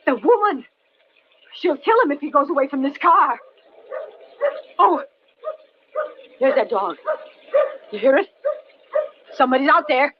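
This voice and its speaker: A woman's voice: sits high, lively intonation, measured pace, pauses often. An actress playing a frantic, unsettling stranded woman with breathless pleas, in vintage radio thriller style. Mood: ominous, fearful. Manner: breathless, wailing pleas and ominous remarks. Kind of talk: 1940s-50s radio thriller acting. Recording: dull vintage radio recording, faint noise.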